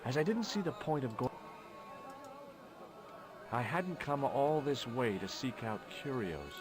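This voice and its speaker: A man's voice: medium pitch, sing-song, measured pace, music in the background. An actor voicing an adult male adventurer in a video game, narrating his own story with dry, sardonic remarks. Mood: wry.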